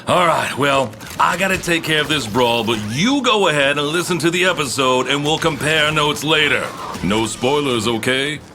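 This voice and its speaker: An actor playing an excitable, friendly podcast superfan in a game world, chatting eagerly straight to the listener. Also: male voice, fairly high pitch, moderate pace, music in the background. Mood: exasperated, chummy. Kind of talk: animated game promo acting.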